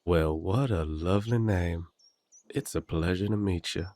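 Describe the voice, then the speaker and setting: A man's voice: low voice, measured pace, a little mellow, no room sound. A man playing a cheery, blunt country werewolf big brother in an immersive audio drama, with a folksy, teasing, hearty way of talking.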